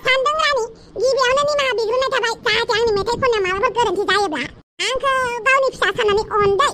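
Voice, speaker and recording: A woman voicing a cheeky, eager young singer in a cartoon, brisk and pleading. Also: extremely high pitch, heavy background noise. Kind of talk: cartoon dialogue. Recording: loud music over the voice.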